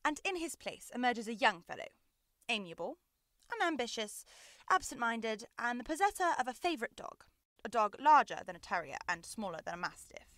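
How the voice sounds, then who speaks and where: A woman's voice: on the high side, sing-song, a little fast, a bit bright, dry recording, studio quality. A young woman playing a brilliant, self-assured male Victorian detective in a theatrical, precise radio drama style. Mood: triumphant. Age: young adult. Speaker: student actor.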